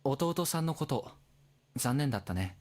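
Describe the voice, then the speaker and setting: A man's voice: medium pitch, lively intonation, a little fast, darkish tone, lightly acted. An actor playing a probing but polite and apologetic male investigator in a Japanese visual novel. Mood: gentle.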